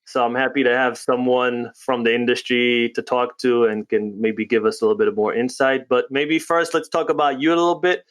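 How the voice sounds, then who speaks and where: A man's voice: mid-range voice, level tone, brisk, few pauses, a bit bright. Chatty upbeat male podcast host. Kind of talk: casual podcast interview.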